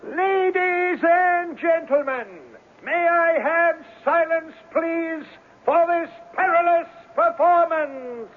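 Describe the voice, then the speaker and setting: An actor playing a bombastic circus ringmaster in a radio drama, announcing in a grandiose, drawn-out, declamatory way. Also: male voice, very high-pitched. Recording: tinny old radio broadcast.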